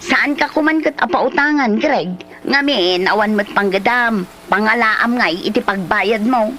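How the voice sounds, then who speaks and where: A woman's voice: on the high side, measured pace, sharp tone, slight background noise, rough recording. A woman playing a nagging, no-nonsense village storekeeper in a comedy drama, scolding in a Filipino accent. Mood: scolding, exasperated. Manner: scolding, challenging. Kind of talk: Ilocano comedy drama acting.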